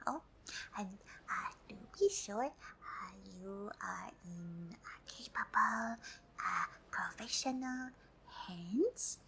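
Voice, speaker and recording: A friendly, shy pink alien girl voiced by a woman whispers very slowly in a gentle ASMR roleplay. She is curious and politely formal, halting often as she picks her words. The close recording has a faint-to-clear bed of background noise. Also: mid-range voice, exaggerated voice. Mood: shy, hesitant. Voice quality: soft breathy whisper. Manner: halting, hesitant, politely formal, third-person self-reference.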